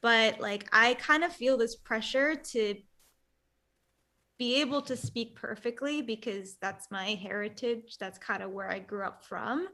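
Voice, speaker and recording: A woman's voice: fairly high pitch, unhurried, sharp tone. A young woman from the United States who grew up speaking Romanian, hosting a chatty interview on a headset from a small room, with many um fillers. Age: young adult. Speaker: host. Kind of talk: casual video-call interview.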